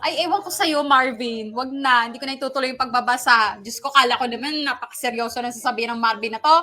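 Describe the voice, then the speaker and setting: Filipina radio host, high bright voice, jokey and casual. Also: female voice, quick pace. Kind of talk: casual radio banter, reading out messages and credits.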